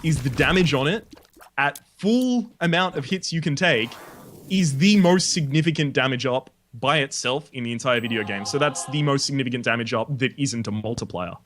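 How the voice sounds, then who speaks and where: Young aussie dude explaining game stuff, mid pitch, bit of background hiss. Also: male voice, animated, moderate pace, fairly bright tone. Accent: Australian. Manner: explains game mechanics, swears casually. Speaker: let's play host. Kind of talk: casual gaming chat.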